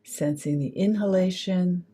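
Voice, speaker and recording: A woman leading a guided meditation in a gentle, soothing manner, pausing between short phrases. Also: lowish voice, breathy edge.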